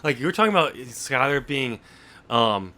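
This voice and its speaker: A man who hosts a podcast, talking casually about books in a halting way and often restarting his sentences mid-thought. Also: mid-range voice, lively intonation, pushed and bright. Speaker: podcast host and novelist. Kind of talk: casual book discussion.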